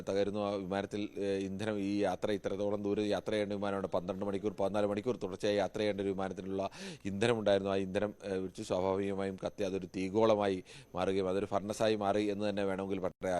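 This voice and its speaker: A man with a Malayali accent giving unscripted news analysis as a correspondent, explaining at length in halting, repetitive run-on sentences. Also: fairly low pitch, little pitch movement, a little mellow.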